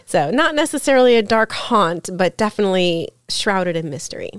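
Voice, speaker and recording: Girlish, clear-voiced woman podcaster. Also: mid-range voice, sing-song, moderate pace, no room sound, studio quality. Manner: girlish-sounding voice. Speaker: podcast co-host. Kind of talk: casual storytelling chat.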